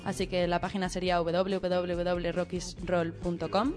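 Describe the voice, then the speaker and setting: A woman's voice: lowish voice, completely flat delivery, normal speed, darkish tone. A woman with a Spanish accent from Spain, co-hosting a radio show in an informal presenting style. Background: music.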